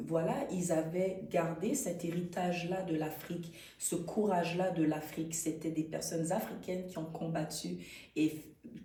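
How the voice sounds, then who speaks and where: A woman talks thoughtfully in an interview. Her voice is low and mellow, with frequent hesitations and restarts. The mic sits a little away from her in an echoey room. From Canada. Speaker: actress and interview guest. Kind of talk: conversational interview. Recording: roomy, distant mic.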